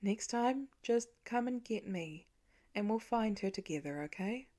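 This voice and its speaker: A woman's voice: mid-range voice, expressive, a little slow, warm tone, lightly acted. A devoted, protective dad of young children, voiced by a woman narrating an audiobook. He talks in an earnest, parental way, full of care for his child. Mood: soothing. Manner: soothing, earnest parental talk. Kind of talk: audiobook character acting.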